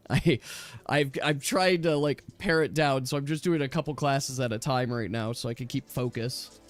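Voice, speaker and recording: A man's voice: medium pitch, normal speed, soft background music. A man chatting casually as a game master, with an enthusiastic, rambling way of explaining things to his players.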